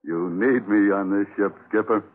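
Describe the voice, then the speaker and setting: Actor as husky, cocky big sailor, vintage radio. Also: male voice, on the low side, moderate pace, natural voice. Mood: teasing, persuasive. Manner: easygoing, teasing, insolent. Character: big, cocky, tough male sailor, first mate type. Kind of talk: 1940s radio adventure acting. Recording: tinny 1940s radio broadcast.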